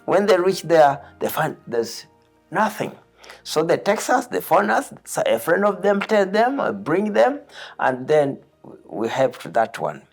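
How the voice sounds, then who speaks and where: A charity worker speaks emphatically in simple, broken English, the pitch rising and falling in a lively way. Faint music plays underneath. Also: unhurried. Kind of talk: documentary interview.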